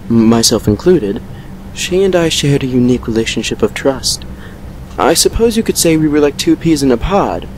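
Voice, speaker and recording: A man playing a courteous, secretive vampire lord in an audio drama, speaking in a measured, formal, confiding way. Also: mid-range voice, darkish tone, a little hiss or hum, natural voice, poor audio. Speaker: voice actor in a fan fiction audio drama. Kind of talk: dramatic character dialogue and storytelling.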